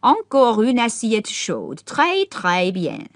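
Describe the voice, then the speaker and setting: A woman's voice: mid-range voice, very animated, unhurried, no echo, clean studio sound, voice pushed up. A woman voicing an elderly, greedy French duchess whose accent mixes French and Cockney, curt and distracted by her food. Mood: pleased murmur. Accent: mix of French and Cockney.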